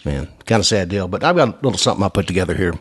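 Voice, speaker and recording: Low-voiced older host. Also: male voice, animated, racing, fairly bright tone, slightly rough. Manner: folksy, rambling, repeats filler phrases. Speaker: radio show host. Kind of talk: casual chat.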